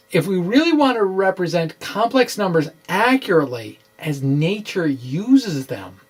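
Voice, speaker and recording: A middle-aged man presenting an informal science explainer, with halting, emphatic delivery and wry asides. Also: high-pitched. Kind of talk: informal dramatic reading of a physics explainer. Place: small indoor room with bookshelves. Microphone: microphone on stand close in front.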